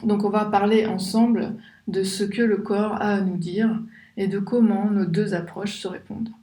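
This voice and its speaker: A woman's voice: mid-range voice, steady tone, normal speed, mellow, echoey room, low-quality recording. Conversational woman podcast host. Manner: conversational, with frequent fillers when asking questions. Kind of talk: podcast intro and interview.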